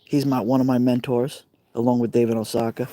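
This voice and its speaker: Brooklyn man, middle-aged, mellow timbre, chatty live streamer by an outdoor fire pit. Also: medium pitch, level tone, a little fast, no room sound. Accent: Brooklyn New York. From Brooklyn, New York. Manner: chatty, rambling, answers viewer comments by name. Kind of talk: casual live stream chat with viewers.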